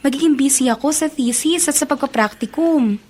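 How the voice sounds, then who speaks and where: An actress playing a polite, considerate young student daughter in a radio drama. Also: female voice, high voice, a little fast, darkish tone, natural voice. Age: young adult.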